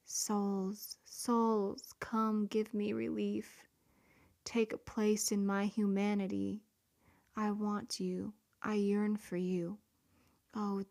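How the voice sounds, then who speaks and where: A woman's voice: medium pitch, a little mellow. A woman reading a devotional prayer in an earnest, pleading way, some words trailing off into a whisper.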